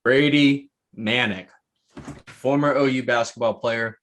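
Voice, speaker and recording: An American man co-hosting a podcast, chatting about sports with deliberate, slightly grand phrasing. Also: mid-range voice, fairly even intonation, fairly bright tone. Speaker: podcast co-host. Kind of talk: casual sports podcast chat.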